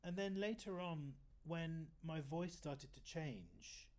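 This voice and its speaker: A man in his fifties with a fairly high voice, chatting in an interview and telling rambling stories with many self-corrections. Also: animated, slow. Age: 50s. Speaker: guest oboist. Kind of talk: casual interview chat.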